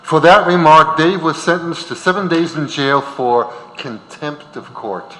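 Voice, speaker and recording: A man's voice: fairly high pitch, unhurried, pushed and bright, a little hiss or hum. A man reading scripted narration on stage in a reverberant auditorium. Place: large auditorium.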